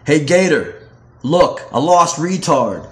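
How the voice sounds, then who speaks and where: A man's voice: fairly high pitch, big pitch swings, slow pace, a bit bright, pitched above the performer's own voice, exaggerated voice. A man voicing mean, cocky teenage school bullies in an audiobook. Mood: mocking.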